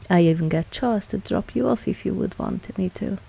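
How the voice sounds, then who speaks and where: A woman playing a dominant, possessive vampire mistress in an intimate ASMR audio roleplay, cool and seductive, speaking deliberately and often dropping into a whisper. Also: medium pitch, vocal fry, dark tone, husky. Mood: resigned, cool. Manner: slow, deliberate, commanding, teasing.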